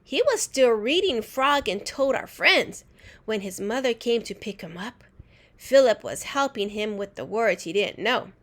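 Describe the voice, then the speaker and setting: A woman reading a children's storybook aloud in an expressive, deliberate teacher's way. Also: a little high. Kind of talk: animated storybook read-aloud.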